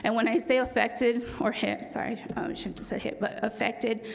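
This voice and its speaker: Raspy-voiced woman presenting to council. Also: fairly high pitch, steady tone, moderate pace. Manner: frequent ums, self-correcting. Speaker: police department presenter. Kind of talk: formal presentation to city council. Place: council chamber.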